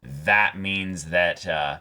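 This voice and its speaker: A man's voice: sits low, drawn-out words, pushed and bright, clean tone. A man co-hosting a reaction show, chatting casually with informal, joking banter. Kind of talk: casual reaction chat and commentary.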